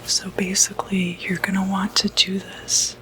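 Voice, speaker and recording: A woman whispering softly and breathily in an unhurried, hesitant ASMR roleplay as a doctor. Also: mid-range voice, little pitch movement, low-quality recording. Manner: soft-spoken, unhurried, hesitant pauses. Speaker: ASMR performer role-playing a doctor.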